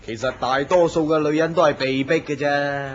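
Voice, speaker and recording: A gossipy office worker chats about his boss, as a man acts him out. His voice sits a little high, and the recording is dull and lo-fi. A clear hiss runs under it. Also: steady tone, moderate pace, lightly acted. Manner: chatty, gossipy. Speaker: voice actor in a radio drama. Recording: muffled lo-fi recording with loud hiss.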